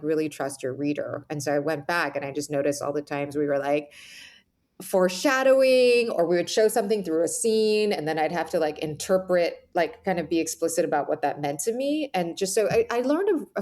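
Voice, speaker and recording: A woman's voice: low voice, darkish tone. A woman speaking as an author guest in a reflective, conversational interview, often dropping in "like" and "you know".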